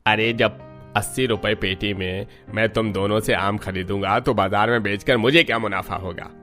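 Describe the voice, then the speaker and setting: Cartoon villain-ish merchant , male actor: low voice, haughty, tight-fisted haggler. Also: fairly even intonation, moderate pace, fairly bright tone, faint music behind, close to an everyday voice. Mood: haughty, haggling. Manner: haughty, dismissive. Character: arrogant haggling fruit trader, stingy and dismissive. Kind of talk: Hindi cartoon story dialogue.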